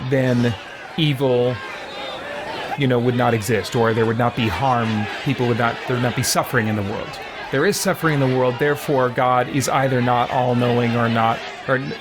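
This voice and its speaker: Male let's-play host, mid-pitched, thoughtful and halting, crowd and noise behind. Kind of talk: philosophical commentary over gameplay.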